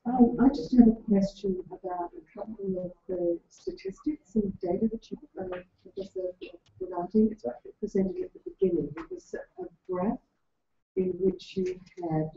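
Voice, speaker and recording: Soft-voiced woman asking a careful question. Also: on the high side, sing-song, moderate pace, vocal fry. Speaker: audience member asking a question. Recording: echoey room, dull lo-fi sound.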